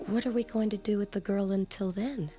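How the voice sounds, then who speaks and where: An actress playing a middle-aged, grieving and protective foster mother, gentle and emotional, in a radio drama. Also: medium pitch, steady tone, a little fast, faint music behind, close to an everyday voice. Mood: worried, protective. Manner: gentle, emotional, breaks into hushed tones.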